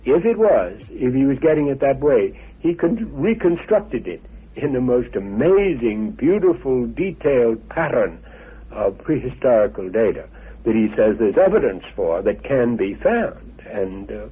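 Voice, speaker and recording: A man's voice: medium pitch, sing-song, a little slow, breathy edge. A man speaking off the cuff, making an insistent argument and repeating phrases for emphasis. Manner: emphatic, insistent, builds points by repetition. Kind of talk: unscripted spoken argument. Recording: narrow, muffled old radio tape.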